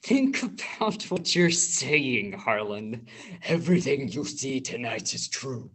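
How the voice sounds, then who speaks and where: A young woman plays a level-headed cast member who pokes holes in the director's plans. Her voice is low and a little husky, with lively rises and falls. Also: slow pace, full character voice. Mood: pointed. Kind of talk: school stage play acting.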